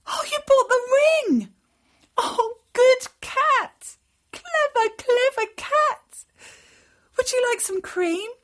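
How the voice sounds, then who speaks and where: A woman voicing an excitable young woman in a children's story, gushing and exclaiming over her pets with drawn-out words. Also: sits high, voice pushed up, full character voice. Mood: doting, tearful relief.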